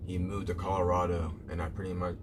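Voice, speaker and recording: A man's voice: low voice, little pitch movement, fast, noisy background. A man live streaming, chatting casually with viewers in a rambling way, repeating himself and calling them "bro".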